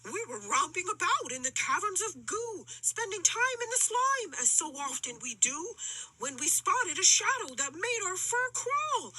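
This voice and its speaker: A woman's voice: very high voice, sharp tone, no echo, pitched above the performer's own voice, full character voice, rough recording. A woman voicing frightened, dramatic monkeys in a children's storybook, telling their scare with exaggerated fright. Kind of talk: children's picture-book character reading.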